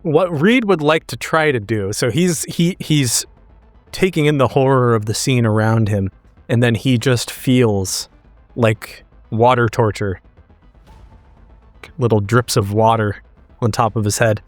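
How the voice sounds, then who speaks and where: A man's voice: mid-range voice, measured pace, dry recording, soft background music. Casual male tabletop player, dry close sound. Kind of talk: casual chat describing character's actions.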